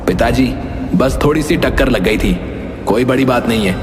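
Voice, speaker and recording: A man playing a spoiled, defiant young prince in an animated moral story, arrogant and dismissive in manner. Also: medium pitch, moderate pace, natural voice. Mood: petulant, dismissive. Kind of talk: dramatic animated story dialogue. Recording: echoey hall reverb, music behind.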